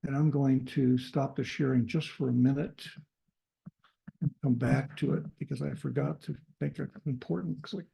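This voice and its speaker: A man's voice: medium pitch, fairly even intonation, moderate pace, gravelly, mellow, low-quality recording. A man presenting to his carving club over a video call, talking loosely with many fillers and restarts.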